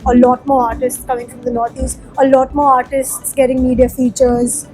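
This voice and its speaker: High-voiced young musician from Sikkim. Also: female voice, little pitch movement, normal speed, over loud music. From Sikkim, India. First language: Nepali. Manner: chatty and candid, many fillers. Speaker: musician being interviewed. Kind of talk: casual interview answers.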